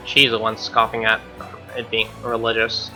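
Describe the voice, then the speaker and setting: Bright-voiced young man streaming. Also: on the low side, monotone, moderate pace, soft background music, poor audio. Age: young adult. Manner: slangy, offhand. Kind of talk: reading game text aloud with casual commentary.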